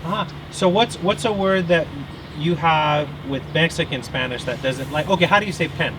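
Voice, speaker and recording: A man's voice: a little high, moderate pace. A man interviewing a passerby, asking curious questions with frequent halts and restarts. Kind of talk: casual street interview. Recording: loud outdoor street noise.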